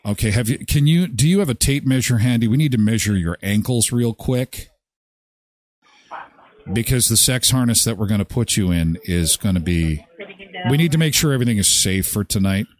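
A man's voice: on the low side, animated, moderate pace, no room sound. A man playing a polite, officious hotel clerk for a prank call, straight-faced, with hesitant phrasing. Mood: deadpan, polite.

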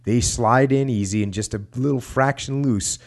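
A man's voice: medium pitch, normal speed, pitched above the performer's own voice, natural voice. A man reading the lines of a knowledgeable enlisted Marine from a war memoir, plain and explanatory. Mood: instructive.

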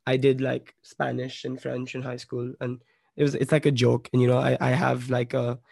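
A young man from Bombay tells a story casually, full of fillers and swearing. His voice has a gravelly fry and stays fairly level in pitch, and the close, dry sound has no room echo. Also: monotone, normal speed, darkish tone. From Bombay, India. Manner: rambling, swears a lot, many "like" fillers. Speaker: podcast guest.

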